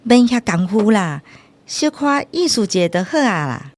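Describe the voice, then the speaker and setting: An actress playing a protective, sharp-tongued rural aunt who scolds and demands fairness in a radio drama. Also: female voice, medium pitch, sing-song, normal speed. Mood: relenting. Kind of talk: Taiwanese radio drama acting.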